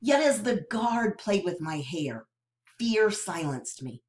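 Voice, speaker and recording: Slow, expressive woman reading a novel aloud. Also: medium pitch. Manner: expressive, storytelling delivery. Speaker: narrator.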